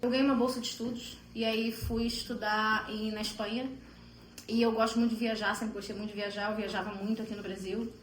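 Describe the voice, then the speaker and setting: A woman's voice: fairly high pitch, flat delivery, brisk, roomy sound, a little hiss or hum, low-quality recording. A woman from Brazil with a Brazilian Portuguese accent, telling her story in a chatty, rambling way with fillers. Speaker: interviewee. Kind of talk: casual interview storytelling.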